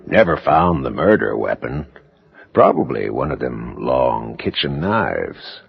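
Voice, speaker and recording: Grim small-town fella, super deep n gravelly, slow, horror radio, muffled. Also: male voice, sing-song, full character voice. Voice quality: gravelly, husky. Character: gravelly, drawling small-town man recounting an old murder. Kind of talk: radio horror drama acting.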